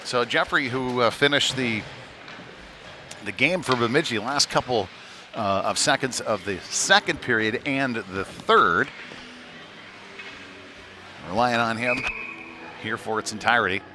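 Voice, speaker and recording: A man giving live play-by-play commentary on youth ice hockey, conversational and halting, with frequent filled pauses. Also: medium pitch. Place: chilly indoor ice rink. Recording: noisy rink ambience, clattering background.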